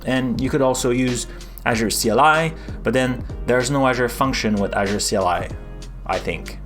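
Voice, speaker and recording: A man's voice: medium pitch, moderate pace, a bit bright, faint music behind. A man with a French accent, casually chatting through a live coding stream, rambling with lots of fillers and restarts.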